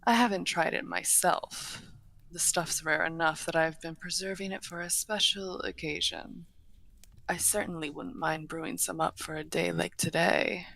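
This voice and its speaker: A woman's voice: fairly low pitch, steady tone, gravelly, fairly bright tone, low-quality recording. A woman playing a courteous, refined half-dragon hostess in a fantasy roleplay, speaking formally with dry teasing. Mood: hospitable, gracious. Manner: genteel, formal, unhurried wording with dry teasing. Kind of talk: fantasy roleplay audio acting, addressing the listener.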